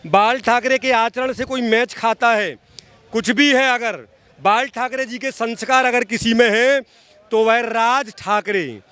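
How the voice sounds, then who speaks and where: A man's voice: extremely high pitch, fairly bright tone, smooth, no echo. A man giving impassioned, opinionated political commentary as an activist in an interview, emphatic, repeating phrases and addressing the listener directly. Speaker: social activist being interviewed.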